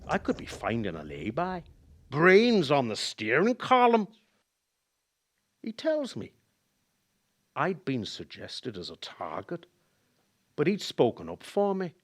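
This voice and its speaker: An actor playing a haunted former cab driver telling a grim memory in a radio play, halting and emotive. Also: male voice, on the high side, huge pitch swings, full character voice. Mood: bitter, reminiscing. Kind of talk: dramatic radio play monologue.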